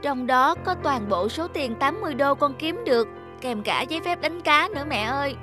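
A woman voicing an earnest, sensitive young boy in an animated story, polite and pleading in manner. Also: high-pitched, moderate pace. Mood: anxious. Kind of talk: Vietnamese animated moral story dubbing. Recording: music behind the voice.

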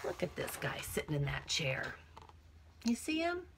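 Slow-paced, expressive woman reading a picture book. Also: lowish voice. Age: middle-aged. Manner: expressive, acts out feelings with emphasis and whispers. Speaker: teacher reading to young children. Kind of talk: picture-book read-aloud with chatty asides to children. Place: small cluttered room at home, indoors.

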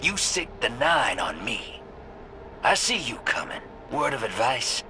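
A man's voice: mid-range voice, wildly swooping pitch, unhurried, gravelly, background noise, exaggerated voice. An actor playing a sly, grizzled male gunslinger and smuggler in a video game, talking in a drawling, sardonic, teasing way. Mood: taunting. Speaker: game character. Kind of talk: video game cutscene dialogue. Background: game music.

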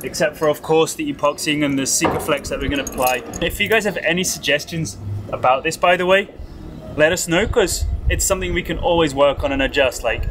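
A man's voice: fairly high pitch, a little hiss or hum. A young man casually explaining boat restoration work step by step as he works in a boatyard and a wooden wheelhouse. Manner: relaxed, explains step by step while working. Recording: guitar music behind.

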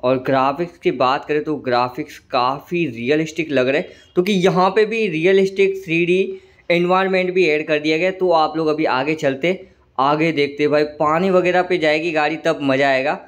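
A man with a slightly hoarse throat giving casual, chatty commentary over a train simulator game, often calling viewers "brother". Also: on the high side, fairly even intonation, moderate pace. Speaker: gaming channel host.

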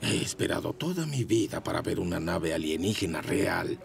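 A man's voice: sits low, animated, normal speed, gravelly, heavily acted. A man voicing an eager adult motorcycle obsessed with alien spaceships, in a cartoon dub. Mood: wistful. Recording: studio voice with cartoon music.

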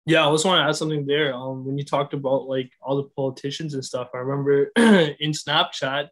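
A man's voice: mid-range voice, fairly even intonation, brisk, fairly bright tone. A young man from Sri Lanka talking casually on a video call panel, chatty with lots of "like" and "right". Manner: chatty, many likes and rights.

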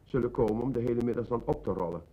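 An actor playing a dutiful, studious son in a radio play, speaking earnestly as if reciting. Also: male voice, mid-range voice, very fast, mellow, dull audio, lightly acted.

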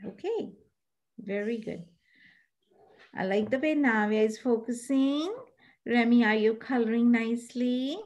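A middle-aged woman teaching an online preschool class on a video call, animated and coaxing, singing out animal sounds for the children. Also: a little high, a little slow, long pauses, dull audio. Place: classroom-like room with posters, indoors.

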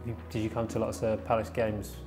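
A man's voice: on the low side, monotone, a little fast. A man working as a club media interviewer, putting brief, friendly questions to young players. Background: background music.

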